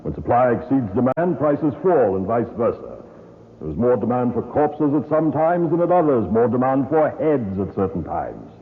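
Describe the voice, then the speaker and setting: An actor playing a coolly businesslike gentleman villain, formal and didactic, in an old radio mystery. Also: medium pitch, big pitch swings, normal speed. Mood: coolly matter-of-fact. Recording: narrow-band, noisy vintage radio.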